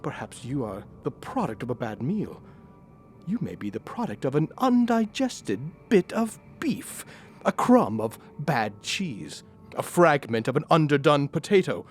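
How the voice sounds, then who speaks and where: An actor playing a bitter, skeptical but frightened old Victorian miser in an audio drama, husky and theatrical, curt or pleading. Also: male voice, on the high side, very animated, a little slow, faint music behind. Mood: sardonic, dismissive.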